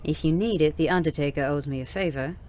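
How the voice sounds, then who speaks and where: Woman acting low-voiced, wry frontier saloonkeeper woman. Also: fast talker, darkish tone, natural voice. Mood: wry teasing. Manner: folksy frontier slang, dry teasing. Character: wry, tough Wild West woman saloonkeeper, fond, teasing. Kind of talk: audio drama western dialogue.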